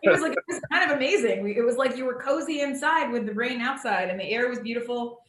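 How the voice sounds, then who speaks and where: A woman's voice: on the high side, fast talker, a bit bright, reverberant, rough recording. An American woman casually moderating a live panel over a video call, informal and hesitant as she thinks aloud. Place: indoor room, on Zoom call.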